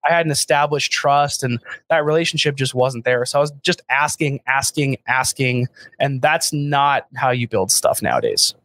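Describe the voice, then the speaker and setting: A man's voice: mid-range voice, lively intonation, normal speed, a bit bright, no room sound. An American man in his late twenties, a podcast guest and startup founder, talking casually and with self-deprecating humor, full of fillers and restarts. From United States. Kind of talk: casual interview talk.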